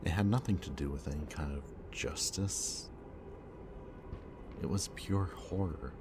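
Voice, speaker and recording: Man playing a very deep-voiced, weary villain. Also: creaky, noisy background, full character voice. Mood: grim, haunted. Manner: theatrically polite, slow and deliberate, sighing. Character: brooding male villain kidnapper, mockingly polite, weary and haunted. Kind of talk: ASMR-style audio roleplay acting.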